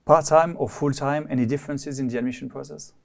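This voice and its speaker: A man's voice: mid-range voice, fairly even intonation, fast, vocal fry. Casual man asking stumbling questions. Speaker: interviewer.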